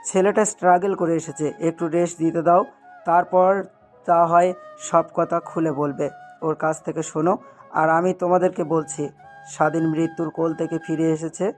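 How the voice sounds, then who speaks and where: A man's voice: fairly high pitch, little pitch movement, normal speed, faint music behind, natural voice. A man playing a concerned, protective older uncle in an audio story drama, speaking earnestly. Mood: grave, explaining. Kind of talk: Bengali audio love-story drama acting.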